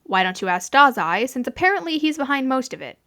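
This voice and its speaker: A woman voicing a brash but gruffly fond mafioso, brusque and wry, in a fan story. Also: high voice, big pitch swings, a little fast, sharp tone, voice pushed up, heavily acted.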